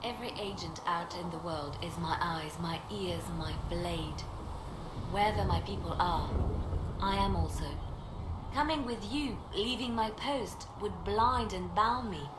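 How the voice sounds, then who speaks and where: Woman playing a guarded, low-voiced spymaster in echoing noise. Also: close to an everyday voice. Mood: guarded, firm. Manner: measured, solemn, reserved. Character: guarded, composed female spymaster, agent of the church. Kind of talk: video game dialogue acting. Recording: echoey game capture, loud ambient noise.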